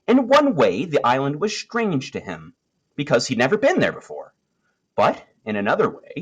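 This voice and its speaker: A man reading a children's nature story aloud, with expressive, emphatic phrasing and dramatic pauses. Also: medium pitch, husky.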